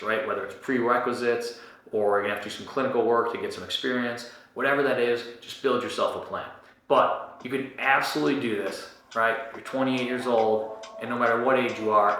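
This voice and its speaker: A man's voice: on the low side, bright, forceful tone. A man in his forties, a podcast host answering a listener's question in a direct, encouraging way that often checks in with "right?". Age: 40s. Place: Chicago. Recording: very echoey room.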